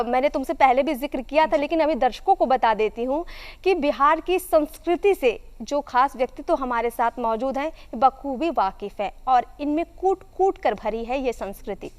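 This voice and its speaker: A woman hosting a television show, presenting to viewers and speaking with guests, in reverberant studio sound. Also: high-pitched. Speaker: TV host.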